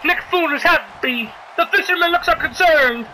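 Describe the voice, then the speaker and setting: Man putting on a very high-pitched Italian wrestling commentator. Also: moderate pace, exaggerated voice. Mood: animated, bumbling. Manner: excitable, exclamatory, stumbling over calls. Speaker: play-by-play commentator. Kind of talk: comic pro wrestling commentary. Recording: clearly noisy background.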